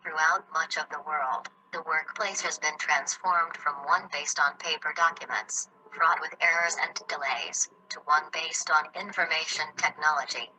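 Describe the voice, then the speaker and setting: A woman's voice: sits low, fairly even intonation, normal speed, few pauses, sharp tone, slight background noise, poor audio. A woman giving scripted narration for an explainer video, evenly reading out definitions.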